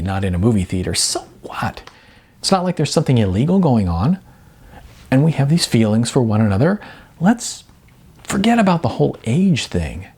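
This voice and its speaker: A man's voice: mid-range voice, a little mellow, husky. A man voicing an earnest, smitten young woman who speaks frankly and coaxingly in an audiobook.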